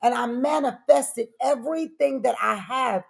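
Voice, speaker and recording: A middle-aged woman giving animated, personal answers as an interview guest, speaking emphatically and repeating phrases to drive them home. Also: sits high, measured pace, creaky, slightly rough. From born in Frankfurt, Germany; lived in New York City. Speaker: guest, daycare business owner.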